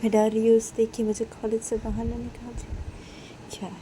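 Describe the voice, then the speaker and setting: A woman's voice: on the high side, flat delivery, moderate pace, a little hiss or hum, close to an everyday voice. A woman voicing an anxious, pleading young woman. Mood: hurt. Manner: pleading, explaining herself gently.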